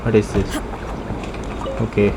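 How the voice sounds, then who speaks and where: A woman plays a game and comments in short, casual mutters. Her low voice moves slowly, with clear noise and game audio behind it. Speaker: game streamer.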